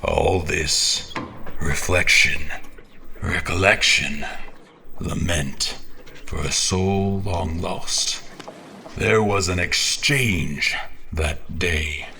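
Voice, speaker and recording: A man playing an obsessed, tormented character in a fantasy audio drama, speaking in a theatrical, halting, brooding way with dramatic emphasis. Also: low voice, vocal fry, pushed and bright, a little hiss or hum, heavily acted. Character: obsessed, tormented man addressing a cryptic book.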